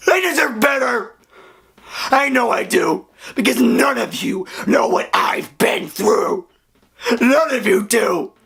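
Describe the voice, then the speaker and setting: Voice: mid-range voice, vocal fry, slightly rough, heavily acted. A voice actor doing a sobbing, hot-headed young man. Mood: bitter. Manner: tearful shouting outburst. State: crying. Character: hot-tempered young man with wacky hair, deeply hurt. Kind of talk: dramatic voice acting.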